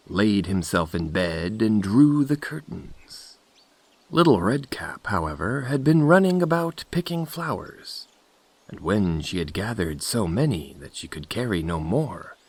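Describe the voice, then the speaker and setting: A male audiobook narrator reads a fairy tale slowly and deliberately. His lowish voice moves expressively through a very lively range. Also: husky, no echo.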